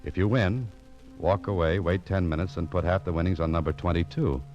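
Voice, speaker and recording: An actor playing a world-weary, wry American private detective in a deadpan radio noir style. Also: male voice, low-pitched, fairly quick, faint music behind, close to an everyday voice. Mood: wry suspense. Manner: dry, deadpan, wisecracking. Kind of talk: 1940s-50s radio noir narration and dialogue. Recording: muffled vintage radio recording.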